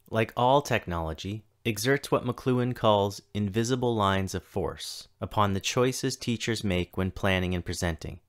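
A man in his thirties giving a scripted keynote as a school technology coordinator, reading at a measured, deliberate pace with pauses between items. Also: on the low side. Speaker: keynote speaker, tech coordinator. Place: home or office, recorded for a virtual conference.

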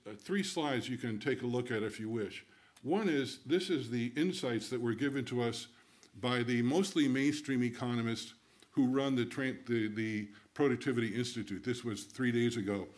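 Older male academic presenting at a conference, mid-range voice, a bit halting. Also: medium pitch. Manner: loose, halting delivery with fillers. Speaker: conference speaker. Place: lecture hall.

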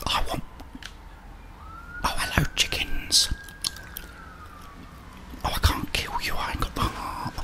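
Casting: man, 30s, constant breathy whisper, hushed muttered gameplay commentary for ASMR. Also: takes it slowly, pauses often. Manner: hushed, muttering to himself with tag questions. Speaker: ASMR gaming streamer.

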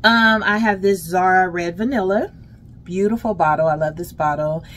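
A middle-aged American woman chatting casually and emphatically to her viewers as a lifestyle vlogger, in an unhurried way. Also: on the low side. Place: closet at home. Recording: clear background noise, home vlog.

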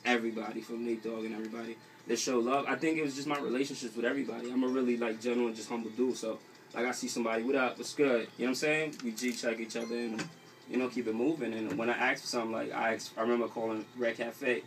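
A man's voice: medium pitch, steady tone, fast, distant mic. A young man with a New York accent chatting casually and slangily as a guest in an interview. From New York City, US. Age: young adult. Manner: slangy, informal. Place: small radio studio. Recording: off-mic with faint noise.

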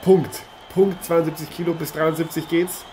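A man's voice: a little high, flat delivery, moderate pace, over music, natural voice. A man playing an eager, effusive TV boxing host in an improvised German audio drama. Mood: engaged. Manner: effusive, formal address to viewers. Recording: noisy arena ambience behind voice.

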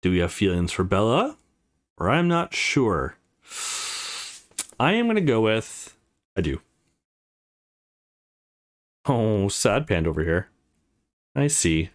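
A man's voice: fairly low pitch, big pitch swings, takes it slowly, long pauses. A man giving casual, chatty, laid-back gameplay commentary as the host of a let's play. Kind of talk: casual gameplay commentary, reading dialogue aloud.

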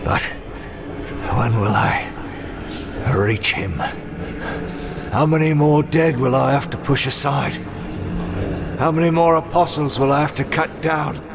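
A man's voice: a little high, a little slow, pauses often, background noise. A man playing a brooding, battle-worn swordsman, terse and world-weary, in a dramatic comic dub. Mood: bitter, grim.

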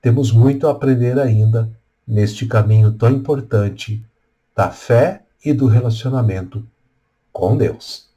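An older man with a Brazilian accent, a pastor giving a devotional talk in measured, deliberate phrases with pauses. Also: mid-range voice, dark tone, dull audio. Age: older, around 60s. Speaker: Lutheran pastor. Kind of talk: devotional talk with scripture reading and prayer. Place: small room at home or office, indoors. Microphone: webcam at desk distance.

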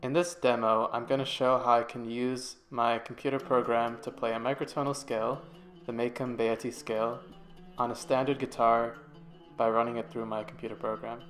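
A young adult man narrates a student project demonstration as a voice-over. His pitch sits in the middle for a man, and his phrasing is informal and a little tentative. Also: flat delivery, moderate pace, a bit bright, faint music behind. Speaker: student presenter. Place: voice-over to slides.

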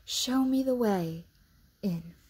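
A woman putting on a lonely, determined young girl, pitched up high and earnest. She speaks insistently in a breathy storybook voice. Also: takes it slowly, a little mellow. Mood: pleading.

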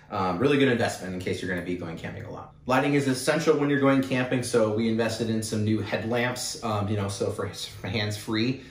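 A young man hosting a casual, upbeat product haul vlog, with plenty of fillers. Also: fairly low pitch. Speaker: channel host. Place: indoor room with wood-panel wall. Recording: roomy echo, mic at a distance.

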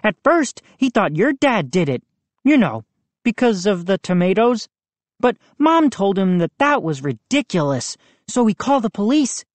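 A woman voicing an excitable boy who is the narrator's friend, loud and agitated. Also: medium pitch, normal speed, no echo, pitched above the performer's own voice, lightly acted.

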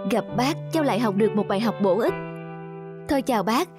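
A woman's voice: on the high side, lively intonation, fast talker, close to an everyday voice. A woman voicing a polite, respectful young man in a cartoon, speaking deferentially. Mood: grateful. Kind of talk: cartoon dubbing. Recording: music behind the voice.

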